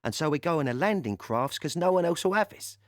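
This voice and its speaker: Audio play: cheerful young army driver, played by male actor, colloquial storyteller, highly animated delivery, dry sound. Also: a little fast, dry recording, lightly acted. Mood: amused. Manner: colloquial, ungrammatical, chatty storytelling with chuckles. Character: cheerful working-class young British army driver recalling D-Day. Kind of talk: dramatic monologue in an audio play.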